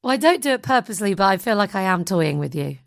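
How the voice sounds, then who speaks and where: A woman with a slightly croaky voice, chatting casually as a podcast host. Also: mid-range voice, very animated, fast.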